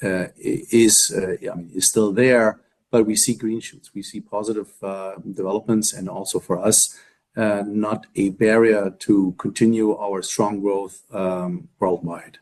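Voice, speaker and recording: Lowish voice exec guy on earnings call, super halting, choppy phrases, repeats himself. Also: steady tone, darkish tone. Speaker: company executive.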